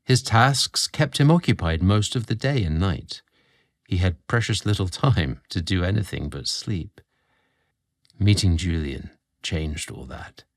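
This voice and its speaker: A man narrating a scripted romantic story in a measured, expressive storybook manner. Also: low-pitched.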